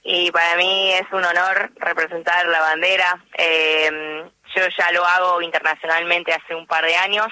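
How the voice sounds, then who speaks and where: A woman's voice: on the low side, measured pace, no room sound, low-quality recording. A young woman athlete, talking modestly with many fillers in a radio interview by phone. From born in the USA, raised in Argentina. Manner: relaxed, filler-heavy, modest. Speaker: athlete guest. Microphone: borrowed phone.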